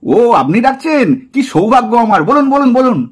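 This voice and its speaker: A man's voice: very high-pitched, big pitch swings, a little fast, heavily acted. A man voicing a cheeky, teasing, mock-polite young man doll in a puppet drama.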